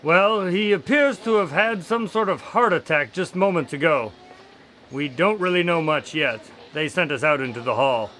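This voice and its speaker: A man plays a detective's doctor companion in a radio drama. His voice is fairly high and bright with lively intonation, courteous yet skeptical and full of questions. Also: moderate pace, faint music behind. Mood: polite, sober. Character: male doctor, detective's polite, skeptical sidekick. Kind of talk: audio drama acting.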